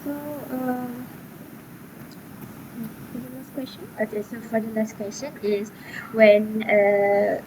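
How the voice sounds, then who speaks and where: A woman's voice: fairly high pitch, flat delivery. Young woman reading interview questions. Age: young adult. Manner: measured, reads prepared questions with short pauses. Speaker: student interviewer. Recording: clear background noise.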